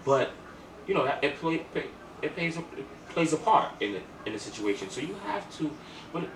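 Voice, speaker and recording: A man's voice: fairly high pitch, sing-song, a little fast, over music. A man co-hosting a podcast, chatting and telling stories in an emphatic, slangy way with repeated phrases. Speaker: podcast co-host. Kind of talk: casual chat and storytelling. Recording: echoey room, distant mic, clear noise.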